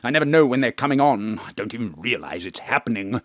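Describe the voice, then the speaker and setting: An actor playing a pompous, drunken, paranoid old thespian, declaiming theatrically in a comic audio drama. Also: male voice, mid-range voice, animated, fast, fairly bright tone, no echo, studio quality, close to an everyday voice. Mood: indignant.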